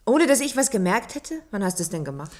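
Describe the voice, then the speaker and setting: An actress playing a skeptical, comfort-loving young woman of a future society, expressive and playfully doubting, in a German science-fiction radio play. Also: medium pitch, fast talker. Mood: excited, amazed.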